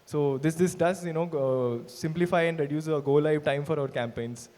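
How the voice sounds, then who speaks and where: Man, performance marketer, hesitant with lots of fillers, slightly high voice, reverberant hall. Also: normal speed, darkish tone. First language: not Hindi. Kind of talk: stage talk. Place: large event hall.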